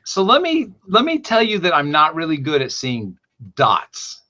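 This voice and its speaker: A man hosting a podcast, talking in a rambling, animated way and often correcting himself mid-sentence. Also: a little high, a little slow, lo-fi sound. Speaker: podcast host. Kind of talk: casual podcast chat.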